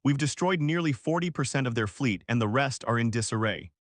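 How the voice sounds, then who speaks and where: A man playing an authoritative fleet admiral who gives formal, measured briefings in a science fiction story. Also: medium pitch, expressive, dry recording, studio quality, lightly acted. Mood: satisfied.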